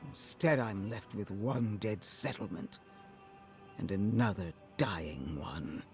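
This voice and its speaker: A man's voice: fairly low pitch, slow, darkish tone, faint music behind. A man playing a stern, contemptuous military commander in a game cutscene, speaking in a formal, menacing, oratorical manner. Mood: bitter, threatening. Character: stern, contemptuous male military commander, threatening and self-righteous. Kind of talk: dramatic game cutscene acting.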